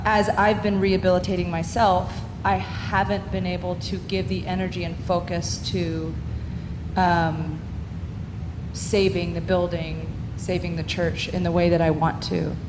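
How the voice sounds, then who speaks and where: A woman in a church congregation sharing a joy and a sorrow, speaking hesitantly and from the heart with many pauses. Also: fairly low pitch. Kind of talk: unscripted spoken reflection. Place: large church sanctuary. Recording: long hall reverb, loud background noise.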